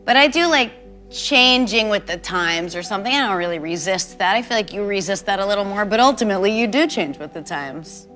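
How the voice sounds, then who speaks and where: Woman talk show host, swears a lot, teasing, bright voice that jumps all over. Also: medium pitch, expressive, brisk, faint music behind. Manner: blunt and profane, teasing. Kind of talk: casual banter with a guest. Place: stage before a live audience.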